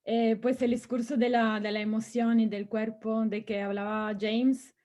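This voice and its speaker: A woman's voice: a little high, level tone, measured pace, mellow. A woman from Italy, whose first language is Italian, asking a question in a Spanish seminar in a hesitant way and repeating words. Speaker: seminar participant.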